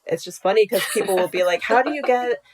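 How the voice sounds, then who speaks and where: A woman's voice: mid-range voice, fast talker, low-quality recording. A woman speaking as an interview guest on a video call, chatty and casual. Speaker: podcast creator, interviewed guest. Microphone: video call mic.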